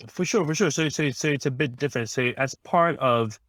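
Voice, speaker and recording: A man from Ghana, an impact investor, answering interview questions in a measured, explanatory way with frequent ums and restarts. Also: medium pitch, a bit bright, no room sound.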